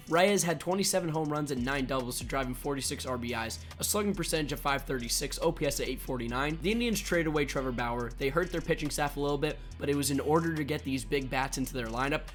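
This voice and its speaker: Fast, slightly raspy man hosting sports videos. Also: medium pitch, a bit bright. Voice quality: a little raspy. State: voice slightly hoarse for now. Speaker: host of a baseball video channel. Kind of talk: scripted sports commentary to viewers. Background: background music.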